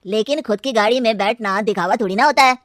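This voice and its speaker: A woman's voice: fairly high pitch, quick pace, fairly bright tone, no echo, clean studio sound, close to an everyday voice. A woman voicing a blunt young brother in a cartoon, challenging everything with sceptical questions. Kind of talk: animated cartoon dialogue.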